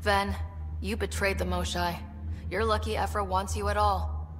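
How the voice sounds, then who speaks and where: An actress playing a confident, dry-witted young space explorer in a video game, direct and wry. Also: female voice, medium pitch, level tone, moderate pace, a bit bright, big-room sound, slight background noise, close to an everyday voice. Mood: stern. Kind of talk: video game character dialogue.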